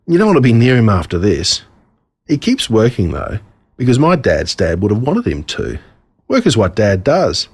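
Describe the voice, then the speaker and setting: A man with an Australian accent reading a scripted storybook in an expressive storytelling manner. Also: on the low side, creaky, slightly rough. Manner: expressive, storytelling in a child narrator's words. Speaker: author reading his own story.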